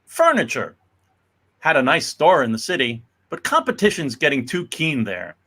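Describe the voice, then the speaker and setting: A man's voice: a little high, wildly swooping pitch, moderate pace, pushed and bright, slightly rough, heavily acted. A man acting a genial middle-aged father and merchant in a dramatic comic reading, hearty and sociable.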